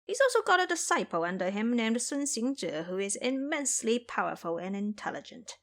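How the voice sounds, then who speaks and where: A woman voicing a male demon king, cautious and condescending, musing in a measured, quiet way in an audio story. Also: on the high side, big pitch swings. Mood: wary.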